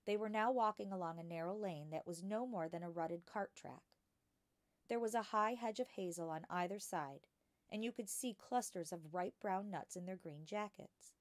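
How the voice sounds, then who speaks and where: Female storytime reader, mid-pitched, expressive and clearly enunciated, narrating a children's book in a dry space. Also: moderate pace, no room sound. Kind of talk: scripted children's book read-aloud.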